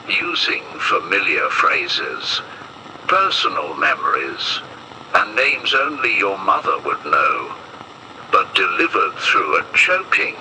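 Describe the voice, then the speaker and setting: A man performs a solemn emergency announcer in an analog horror broadcast. His voice is very deep and slow, with an ominous weight to the measured delivery. It comes through with clear noise, like a lo-fi radio warning. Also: exaggerated voice.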